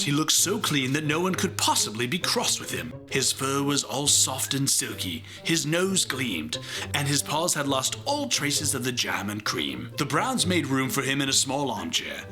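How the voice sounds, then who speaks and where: A man reading a children's story aloud in a playful, theatrical way, with a put-on English accent. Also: medium pitch. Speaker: storybook reader. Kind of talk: animated children's read-aloud narration.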